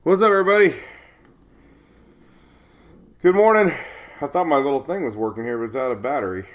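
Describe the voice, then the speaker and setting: A man's voice: on the high side, a little fast. A man hosting a casual live weather stream, chatty, rambling and jokey, sounding slightly stuffed up with a cold as he greets viewers.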